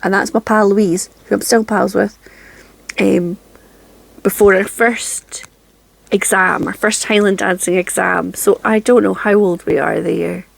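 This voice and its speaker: Chatty Scottish woman with creaky voice. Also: mid-range voice, fairly even intonation, measured pace, low-quality recording. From Scotland. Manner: chatty, self-deprecating, with frequent ums and soft laughs. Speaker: craft channel host. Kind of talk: casual chat to camera. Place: home craft room.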